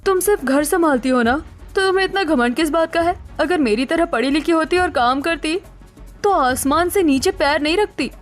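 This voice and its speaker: A woman voicing a sharp-tongued, ambitious young daughter-in-law in a cartoon story, pointed and outspoken. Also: sits high, moderate pace, faint music behind, lightly acted. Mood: taunting.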